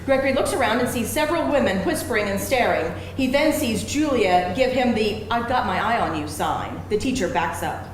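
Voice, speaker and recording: A woman's voice: on the high side, animated, fairly quick, few pauses. A woman reading stage directions as scripted narration at a live screenplay reading. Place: live event room before an audience. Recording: very echoey room, faint noise.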